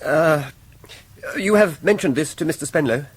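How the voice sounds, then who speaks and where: An actor playing an evasive, apologetic, fussy law partner in a period radio drama. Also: male voice, a little high, moderate pace, slightly rough, no room sound.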